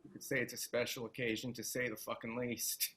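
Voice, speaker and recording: A man's voice: mid-range voice, little pitch movement, brisk, pushed and bright, breathy edge. A man acting in a screenplay table read as a wisecracking activist and ex-soldier, with a casual, conversational, profane delivery. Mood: tender, resigned. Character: gruff, wisecracking male activist McKenna, an ex-soldier comforting his dying lover.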